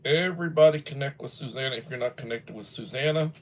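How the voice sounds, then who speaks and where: A man's voice: mid-range voice, fast. A man in his early sixties, chatting casually on a livestream, rambling and folksy as he reads viewer comments aloud. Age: 60s. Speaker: livestream host.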